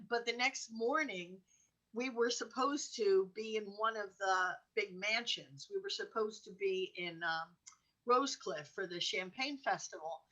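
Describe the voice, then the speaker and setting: A woman's voice: mid-range voice, pushed and bright, lo-fi sound. An American woman hosting a talk show, chatting casually and telling personal anecdotes in an exclamatory way.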